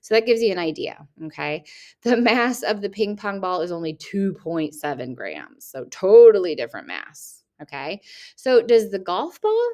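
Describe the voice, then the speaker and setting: Teacher woman reading test aloud, low-pitched. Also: a little mellow. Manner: patient, asks questions back to the listener. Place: at a computer, screen recording.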